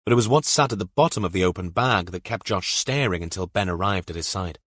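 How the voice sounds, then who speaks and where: A man's voice: low-pitched, expressive, fast, fairly bright tone, no room sound, clean studio sound. A man narrating a scripted thriller audiobook.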